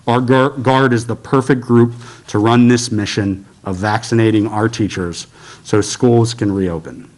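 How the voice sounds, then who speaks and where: A man's voice: on the low side, steady tone, a little slow, darkish tone, poor audio. Formal American man giving prepared remarks. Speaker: state legislator. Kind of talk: prepared remarks at a press briefing.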